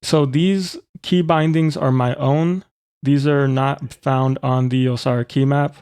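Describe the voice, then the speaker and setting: A man presenting an informal, step-by-step software demonstration. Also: mid-range voice, fairly even intonation, unhurried, smooth, no echo, clean studio sound. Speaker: tutorial presenter.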